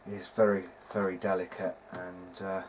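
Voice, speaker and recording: A man's voice: low-pitched, moderate pace, faint music behind. A man giving informal workbench commentary as a hobbyist restorer, thinking aloud in a hesitant way. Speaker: hobbyist radio restorer, presenter. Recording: clear steady background noise.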